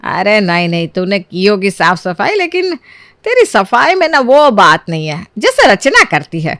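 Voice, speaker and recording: A woman's voice: medium pitch, very animated, moderate pace, fairly bright tone, dry recording, studio quality. A woman voicing a sly, scolding elderly mother-in-law in a cartoon story, with sarcastic, theatrical needling. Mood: needling, falsely sweet.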